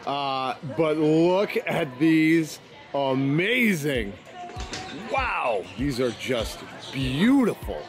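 A man with a Maine accent talks to the camera outdoors, rambling casually with lots of fillers. His voice sits a bit high for a man, and soft music plays underneath. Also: very animated, drawn-out words. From Maine, USA. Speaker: vlog host. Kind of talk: casual outdoor vlog chat. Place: outdoors in a town.

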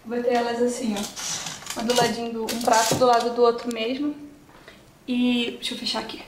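A woman's voice: a little high, little pitch movement, moderate pace, reverberant, background noise. A young woman in her twenties from Brazil, chatting through a cooking tutorial in her home kitchen and explaining each step to her viewers. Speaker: cooking vlogger.

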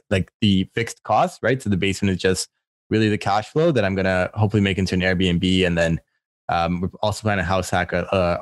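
A man co-hosting a real estate podcast in casual chat, quick and filler-heavy with casual swearing. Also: low-pitched, dry recording, studio quality. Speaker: podcast co-host and mortgage broker.

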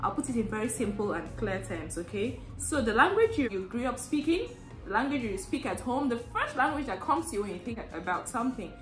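Animated young woman explaining in a roomy space. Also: a little high, brisk, faint music behind. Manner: animated, explains simply with frequent fillers. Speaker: language tutor. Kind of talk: casual explanatory chat. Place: living room, seated on a sofa indoors.

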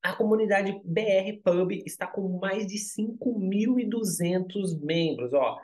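A young man hosting a crypto channel, talking upbeat and salesy over a screen-share walkthrough, always tagging lines with quick asides. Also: sits high, darkish tone. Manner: upbeat, salesy, constant "olha só" and "tá?" tags.

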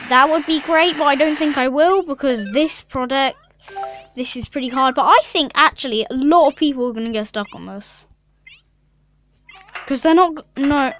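A woman's voice: high voice, slight background noise. A young female gaming YouTuber chatting casually and rambling through a mobile game, with lots of fillers and giggles.

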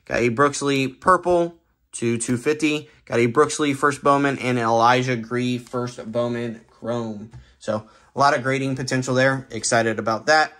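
Young male host, bright tone, quick casual rundown of card buys at a table, lots of "uh"s. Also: medium pitch, steady tone. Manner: brisk listing of items with frequent fillers. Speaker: sports card dealer and channel host. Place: indoor room, cards on a table.

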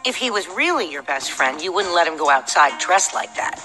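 A woman playing a practical, slightly anxious young friend in conversational animated game dialogue. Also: on the low side, brisk, poor audio. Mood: exasperated. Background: music.

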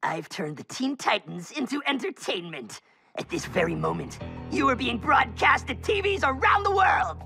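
A man's voice: very high voice, sing-song, normal speed, vocal fry, sharp tone, breathy edge, faint music behind, full character voice. A man voicing a pompous, bitter male couch-potato villain in a cartoon, ranting theatrically and scornfully. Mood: smug. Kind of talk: animated cartoon acting.

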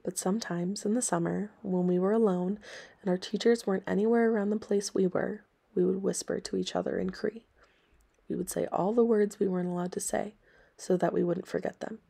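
A woman reading aloud scripted story and history narration for listeners in a measured, plain way. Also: medium pitch, fairly even intonation, gravelly, mellow, husky. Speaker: storybook reader and history presenter.

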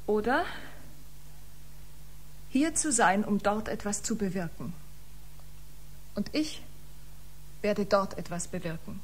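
An actress in a German science fiction radio drama playing a proud, wounded exiled biomusician, speaking dramatically with breathy deliberation. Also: female voice, medium pitch, lively intonation, takes it slowly, pauses often. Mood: resigned, brooding.

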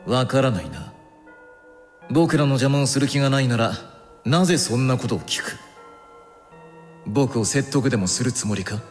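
A man's voice: mid-range voice, lively intonation, normal speed, long pauses, close to an everyday voice. Young male game villain, voiced by a man: icy, pompous, analytical, medium register, long reverb over music. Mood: suspicious. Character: cold, haughty, intellectual young male villain. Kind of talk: anime game cutscene acting.

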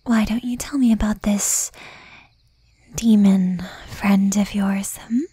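Lady acting as a jealous demon girlfriend in asmr roleplay, super breathy whisper, really slow, sweet but menacing. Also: mid-range voice, fairly even intonation, warm tone, dry recording. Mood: suspicious, probing. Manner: slow, intimate, with pointed pauses.

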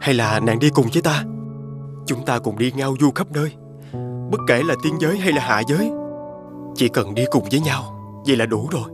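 A man voicing a homesick, courteous young scholar in an animated fairy tale, polite and earnest. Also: mid-range voice, fairly quick, pauses often, over music, natural voice. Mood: earnest, longing.

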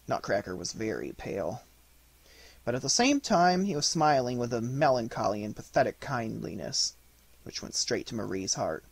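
Male audiobook reader, scripted story narration, medium pitch, a bit husky. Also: no echo.

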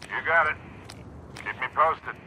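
A man's voice: medium pitch, a little slow, noisy background, natural voice. An actor playing an easygoing male pilot on standby in a video game, answering in upbeat replies.

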